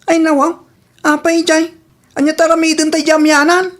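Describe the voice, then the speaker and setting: Actress as penniless, reluctant pal, high register, Ilocano accent, apologetic colloquial radio drama delivery. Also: female voice, little pitch movement, normal speed, lightly acted. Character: reluctant, penniless friend begging off an outing.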